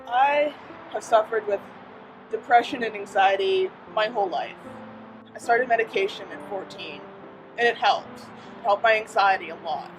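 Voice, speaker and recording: A man's voice: high-pitched, normal speed. A young man giving a plain, matter-of-fact personal testimony. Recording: distant mic under music.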